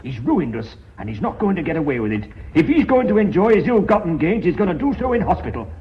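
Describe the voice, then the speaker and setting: A threatening, blustering company director in a radio play. The voice runs high with big swings of pitch, on a muffled recording with faint noise. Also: male voice, big pitch swings, fast. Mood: menacing fury. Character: hot-tempered, blustering male company director. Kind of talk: radio drama acting. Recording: dull, slightly noisy radio recording.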